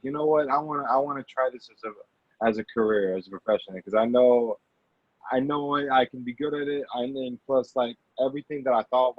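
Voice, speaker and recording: A man's voice: medium pitch, no echo. A man speaking as a podcast guest in casual interview talk, earnest and hesitant, often repeating his words. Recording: slightly dull, dry lo-fi audio.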